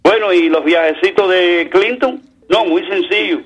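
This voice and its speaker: A man's voice: high voice, a little slow, rough recording. A man with a Cuban accent calling in to a talk radio show, giving opinionated political commentary in a colloquial, sardonic, idiom-filled way.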